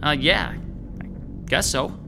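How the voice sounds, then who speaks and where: A man's voice: on the high side, animated, slow, creaky, over music, heavily acted. An actor playing a slangy, grumbling, middle-aged male New York lackey in an audio horror drama. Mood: nervous.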